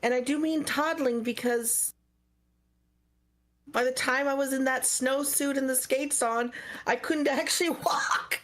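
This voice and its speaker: A woman's voice: high voice, steady tone, measured pace, a bit bright. A woman in her fifties from Ontario, reminiscing in casual podcast chat. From Canada (Ontario). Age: 50s. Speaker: podcast co-host.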